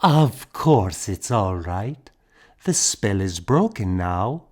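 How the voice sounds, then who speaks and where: A man's voice: on the low side, very animated, slow pace, heavily acted. A man voicing a tender, confident young woman telling tales in an audiobook novel. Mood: tender assurance. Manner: tender, assured.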